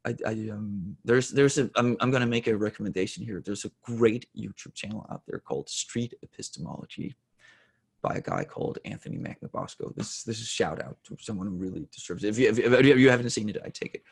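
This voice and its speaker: Man from Denmark, forties, native Danish speaker, husky with vocal fry, mid pitch, halting candid interview talk. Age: 40s. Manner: halting, self-correcting with repeated words. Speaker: interview guest.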